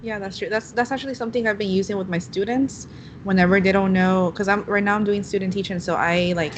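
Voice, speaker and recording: A woman's voice: mid-range voice, steady tone, fast talker, a little hiss or hum. A young woman whose first language is Spanish, a student teacher chatting in a casual class discussion and saying "like" often. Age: young adult. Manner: chatty, heavy use of "like".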